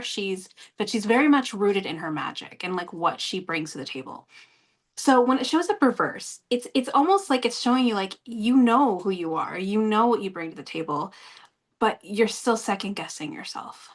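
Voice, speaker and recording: A woman hosting a show, reading tarot for a guest in long, flowing, encouraging talk. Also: medium pitch. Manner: talkative, warmly encouraging, talks in long flowing runs. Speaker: host and tarot reader. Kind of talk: casual chat, giving a tarot reading.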